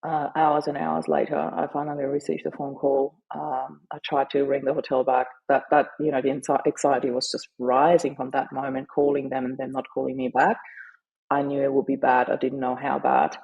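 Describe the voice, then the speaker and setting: A middle-aged woman whose first language is German, sharing her story earnestly and haltingly in an interview. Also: extremely low pitch, flat delivery, creaky, breathy edge, lo-fi sound. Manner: earnest, halting, with frequent fillers. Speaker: guest, grief advocate and author.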